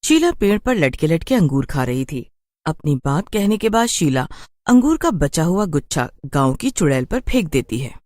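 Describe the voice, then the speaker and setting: A woman's voice: low-pitched, very animated, normal speed, darkish tone, no echo, clean studio sound. A woman narrating a scripted horror story for a cartoon in storybook style.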